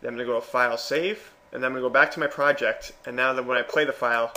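A man presenting a software tutorial in a casual, step-by-step narration. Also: mid-range voice, steady tone, racing.

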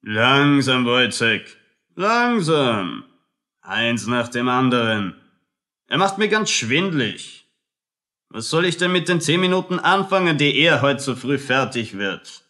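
An actor playing a condescending, melancholic army captain in a radio play, with a fussy, pompous, lecturing manner. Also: male voice, medium pitch, big pitch swings, sharp tone, full character voice. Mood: agitated, patronizing. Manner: pompous, slow, drawling, lecturing. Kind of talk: German radio play acting.

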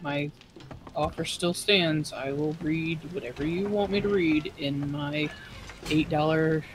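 A woman with a low voice joining a gaming livestream, talking in a dry, teasing way. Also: a little slow, background noise. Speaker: co-player on stream. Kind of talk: casual gaming chat.

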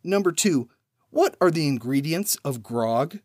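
A man hosting a trivia podcast, reading numbered questions and then giving each answer after a pause, in a measured way. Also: on the high side, expressive, a little mellow.

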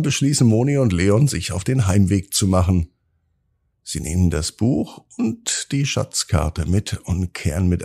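A low-voiced man reads a children's bedtime story with a husky, creaky tone. His pitch swings expressively while he draws out phrases and leaves dramatic pauses. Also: mellow. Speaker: children's podcast host and storyteller.

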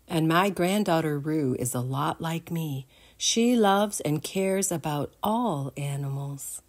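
Grandma-aged lady reading a kids picture book, lowish voice, takes her time. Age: older. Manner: deliberate, animated, addresses the listeners with questions. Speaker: children's storybook reader. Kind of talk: expressive read-aloud storytime for young children.

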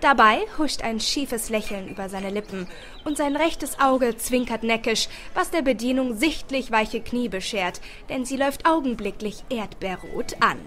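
A woman reading a first-person story aloud as an expressive audiobook narrator. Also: fairly high pitch, normal speed, a little hiss or hum. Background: background music.

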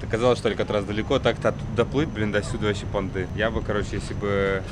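A man's voice: lowish voice, little pitch movement, racing. Young male travel vlogger, offhand joking delivery, windy outdoor camera audio with boat noise behind. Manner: joking, loose slangy commentary. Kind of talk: casual travel vlog chat. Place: outdoors on a windy waterfront and pier.

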